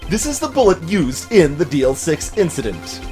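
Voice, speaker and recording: A man voicing an earnest young male defense attorney in a video game, making emphatic, deliberate declarations. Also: on the high side, sing-song, a little mellow, soft background music. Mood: confident explaining. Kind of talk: video game dub acting.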